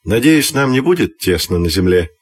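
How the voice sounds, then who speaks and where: A man as friendly, husky-voiced Oil. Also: on the low side, big pitch swings, normal speed, no echo. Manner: friendly, unruffled. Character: friendly, evasive, calm Oil with a calling to burn.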